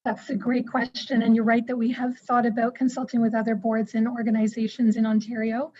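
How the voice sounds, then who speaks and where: A woman on school board staff explaining a human rights policy in an online meeting, measured and explanatory with frequent fillers. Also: a little high, monotone, mellow, muffled recording.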